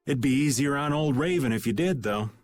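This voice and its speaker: A folksy middle-aged rogue acted by a man in a video game, casual and wry with cheeky self-pity. His mid-pitched voice swings expressively over faint music. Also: lively intonation, a little fast, close to an everyday voice. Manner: casual, wry, refers to himself by name. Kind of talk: animated RPG video game dub. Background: faint background music.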